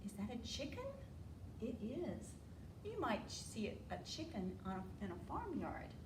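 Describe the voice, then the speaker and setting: Animated, high-voiced American storytime woman. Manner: animated, simple questions to young children, drawn-out key words. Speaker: children's storytime librarian. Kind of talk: interactive preschool storytime. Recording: roomy, distant mic, faint room noise.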